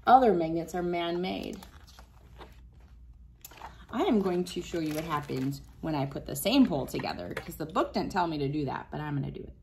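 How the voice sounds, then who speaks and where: A woman's voice: sits low, animated, moderate pace, darkish tone, slight background noise. A woman in her thirties reads a picture book aloud to young children, instructing them and adding casual asides as she demonstrates. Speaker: children's read-aloud host. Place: small wood-panelled room indoors.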